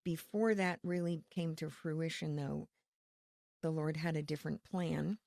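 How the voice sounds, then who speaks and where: A woman with a low, slightly gravelly voice explains her ministry work in a steady, earnest way. She is from Connecticut and speaks with quiet faith and conviction. Her voice is recorded dry and close. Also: unhurried, no echo. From Connecticut, USA. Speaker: ministry leader, guest. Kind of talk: podcast interview.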